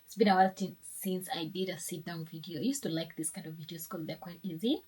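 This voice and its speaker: A woman's voice: fairly low pitch, steady tone, fast, a little mellow, slightly rough. A young woman, chatting casually in a sit-down vlog, upbeat and rambling with restarts. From Kenya. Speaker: lifestyle vlogger.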